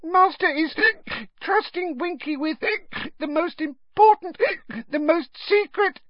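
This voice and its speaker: A performer voicing a tipsy, tearful, fiercely loyal female house elf, squeaky and whimpering in broken speech for a storybook. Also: slow, no echo, lo-fi sound. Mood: indignant, slurred. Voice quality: very high, squeaky. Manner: whimpering, hiccuping, broken third-person speech.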